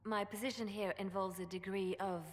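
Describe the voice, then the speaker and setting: A woman's voice: medium pitch, little pitch movement, a little fast, in a large hall, close to an everyday voice. An actress playing a cool, shrewd female spymaster in a fantasy video game, controlled and understated in delivery. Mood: cool, measured. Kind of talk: video game dramatic dialogue.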